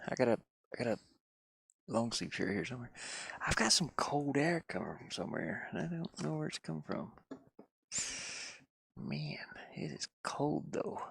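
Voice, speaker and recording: A man talks off the cuff on a casual livestream, his voice full of creaky fry. He takes his time, muttering to himself and wandering between thoughts in folksy, colloquial phrasing. The sound is close and dry. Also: mid-range voice, fairly bright tone, dry recording. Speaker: livestreaming gamer and hobby game developer living in a van. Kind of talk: casual unscripted livestream chat. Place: inside a van.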